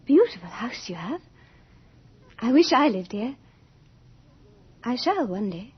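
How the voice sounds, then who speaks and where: Breathy, girlish Lady Death, sweet and eerie. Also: a little high, sing-song, slow pace, exaggerated voice. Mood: gentle, eerie. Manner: sweet, polite, apologetic. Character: Death as a lovely, shy, eerie young girl. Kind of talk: old-time radio drama acting. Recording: dull old radio recording, faint music.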